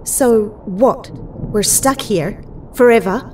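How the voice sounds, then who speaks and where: A worried young heroine played by a woman in an audio drama. Her voice is soft-edged and mellow, away from the mic, with faint noise behind. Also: a little high, slow pace, recorded from a distance, poor audio. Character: anxious, plain-spoken young woman hero.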